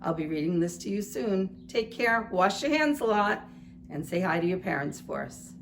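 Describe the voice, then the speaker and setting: Low, creaky-voiced woman reading to kids. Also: lively intonation. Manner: simple, deliberate phrasing addressed to children. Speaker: preschool teacher. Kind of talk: read-aloud storytelling for young children. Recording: faint background noise.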